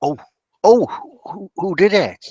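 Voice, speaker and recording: A man voicing a dazed, dismayed priest with a halting, bewildered way of talking. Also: sits high, a little mellow, husky, dry recording, muffled recording, full character voice. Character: dazed, dismayed priest who heard a confession.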